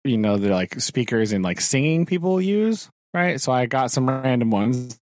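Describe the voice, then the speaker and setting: A man's voice: medium pitch, very animated, moderate pace, a little mellow, no echo, clean studio sound. A man, a teacher co-hosting a podcast, chatting casually, laughing often and acting out his own thoughts. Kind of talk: casual chat. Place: home.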